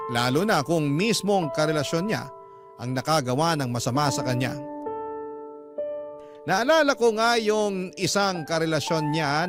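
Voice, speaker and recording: A man narrating a listener's letter in a calm, clear, measured radio-storytelling voice, pitched a little high for a man. Instrumental music plays clearly beneath the narration. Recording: narration over background music.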